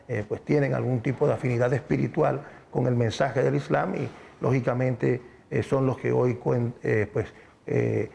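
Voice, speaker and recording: Guy w creaky voice, community spokesman, explains stuff haltingly in interview. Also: mid-range voice, dark tone. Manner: halting, measured explaining, repeats words.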